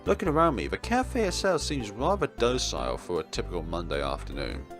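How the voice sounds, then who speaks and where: Low-voiced, animated young man narrating a game. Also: a little fast. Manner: theatrical, expressive, with playful asides. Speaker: let's player. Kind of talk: dramatic read-aloud narration of a visual novel. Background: game music.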